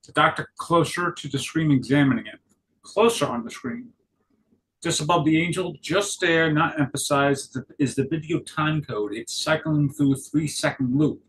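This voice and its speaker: A man narrating stage directions at a script table read, halting and correcting himself as he goes. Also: a little high, fairly bright tone, poor audio. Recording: clear background noise.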